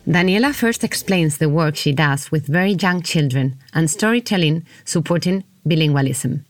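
A woman hosting an interview, reading out questions and narration in a measured, scripted way. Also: deep voice, animated, no echo, faint music behind. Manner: measured, read-aloud delivery. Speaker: interviewer and host.

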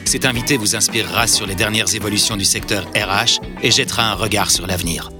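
A man reads a scripted podcast intro in a smooth, even delivery. His voice sits low, and plucked guitar music plays clearly behind him. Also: fast. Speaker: podcast announcer.

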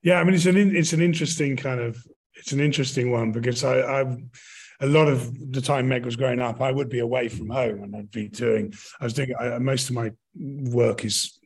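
A man's voice: medium pitch, fairly even intonation, fairly quick, husky. A middle-aged British man answering conversationally in an interview, rambling and often rephrasing himself mid-sentence. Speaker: interview guest, touring actor and director.

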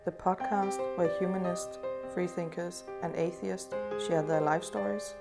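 A woman hosting a podcast, reading her intro and asking interview questions in a steady, measured way. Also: fairly low pitch, vocal fry, soft background music. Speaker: podcast host.

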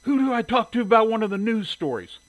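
Man voicing an indignant, high-pitched angry mother. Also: lively intonation, unhurried, no room sound. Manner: demanding, indignant, blunt. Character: angry, fiercely protective working-class mother. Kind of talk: audiobook character dialogue.

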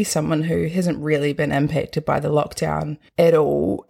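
A young woman with a New Zealand accent and a husky voice, hosting a podcast in an upbeat, earnest monologue. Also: sits low, darkish tone. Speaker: podcast host.

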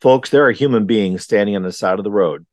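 A man's voice: lowish voice, a little fast, a little mellow, dry recording, clean studio sound. A man from Wisconsin hosting a podcast, chatting casually with many ums and uhs in an earnest, rambling way. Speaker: podcast host. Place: remote recording.

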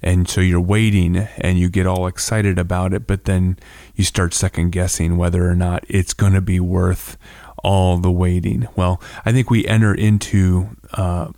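Low, mellow-voiced man giving a reflection. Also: no echo, clean studio sound. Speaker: host giving a scripture reflection. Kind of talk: conversational devotional reflection.

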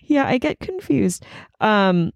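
A woman co-hosting a casual podcast, talking in a light, playful way and laughing often. Her voice sits at an ordinary middle pitch. The recording is close and dry, with no room sound. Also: big pitch swings, slow pace, vocal fry, dry recording, highs cut off. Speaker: podcast co-host. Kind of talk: casual chat.

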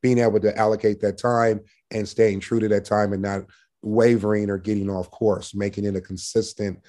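Male sales manager as podcast guest: lowish, very mellow tone, assertive and projecting, conversational business talk. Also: level tone, moderate pace. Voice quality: loud, projecting voice.

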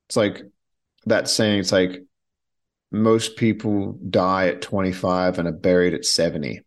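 A man hosting a podcast, talking bluntly and with strong opinions in a casual monologue, often restarting his sentences. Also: on the low side. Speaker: podcast host.

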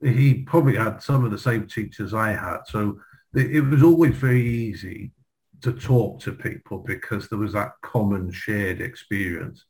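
A man with a somewhat low voice, reminiscing with frequent restarts and repeated words. He speaks in a friendly, unhurried chat. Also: a little mellow, low-quality recording. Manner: halting, repeats words often. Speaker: guest, former pupil. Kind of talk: reminiscing in a group video chat.

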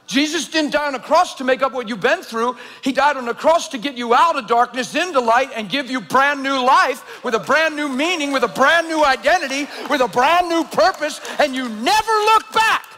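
A pastor in his fifties preaching a sermon, swinging from low, quiet passages to shouting intensity. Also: moderate pace, hardly any pauses. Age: 50s. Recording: long hall reverb.

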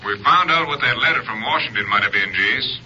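An American actor playing a brisk Texas Ranger captain giving clipped, official reports in a 1950s radio drama. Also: male voice, mid-range voice, expressive, sharp tone, husky, soft background music, full character voice. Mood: official, informative. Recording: muffled, faintly noisy old radio recording.

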